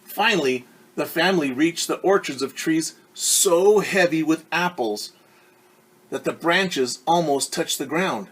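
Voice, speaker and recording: A man's voice: fairly high pitch, a bit bright, slightly rough, rough recording. A male teacher reading a picture book aloud to children, stopping to explain words and ask them questions. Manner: pauses to explain words and quiz young listeners.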